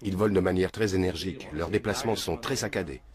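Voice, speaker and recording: A man's voice: sits low, fast talker, a crowd in the background. A man answering interview questions in a matter-of-fact, assured way.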